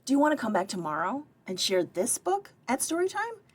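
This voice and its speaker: Woman as an encouraging storybook librarian, slightly husky. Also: on the high side, sing-song, brisk, voice pushed up. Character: encouraging female children's librarian leading story time.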